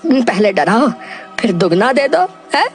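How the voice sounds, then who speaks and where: A woman's voice: a little high, big pitch swings, measured pace, faint music behind. A woman playing a poor, devout village farmer who pleads and exclaims in a cartoon moral story. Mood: grateful relief. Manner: plaintive, exclamatory, imploring.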